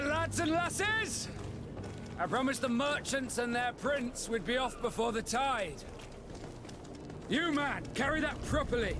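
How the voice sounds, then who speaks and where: An actor voicing a stern, proud sea captain in a video game, curt, formal and commanding. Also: male voice, very high voice. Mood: barking orders. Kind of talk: video game character acting.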